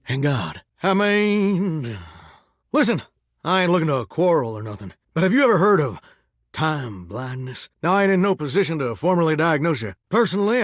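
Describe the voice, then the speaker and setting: A man's voice: a little high, dry recording, clean studio sound. A man playing a folksy, flirtatious talking shower in a video game, with a theatrical Southern US drawl and a wistful streak. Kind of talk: video game character acting.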